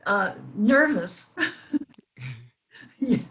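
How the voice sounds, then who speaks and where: A woman's voice: mid-range voice, lively intonation, very slow, fairly bright tone. An older woman, a former performer appearing as a panel guest, reminiscing casually and hesitantly.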